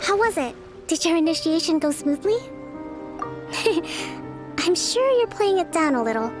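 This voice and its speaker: A woman's voice: extremely high pitch, very animated, unhurried, music in the background, heavily acted. A woman playing a poised young army leader in a video game, gracious and thoughtful. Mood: composed. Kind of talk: video game character acting.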